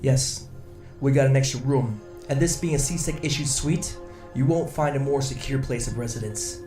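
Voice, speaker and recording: A man's voice: mid-range voice, distant mic, natural voice. Man playing a formal security officer, roomy echo. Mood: grave, formal. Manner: formal, measured, resolute. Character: earnest, dutiful alien security officer, grown man. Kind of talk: audio drama acting. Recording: roomy echo with music underneath.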